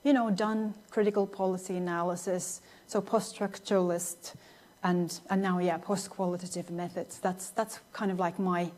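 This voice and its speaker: A woman's voice: fairly low pitch, flat delivery, normal speed, darkish tone. A woman in her thirties from Finland, a non-native speaker giving a thoughtful academic lecture with many restarts and fillers. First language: Finnish. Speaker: guest lecturer.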